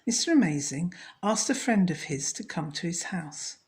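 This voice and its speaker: A woman storyteller narrating a children's story in a measured, scripted read. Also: on the low side, expressive, darkish tone.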